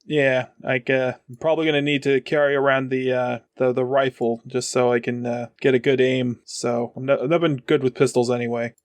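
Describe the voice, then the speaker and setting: Halting man, medium pitch, at game table. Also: level tone, dry recording. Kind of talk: casual roleplay chat.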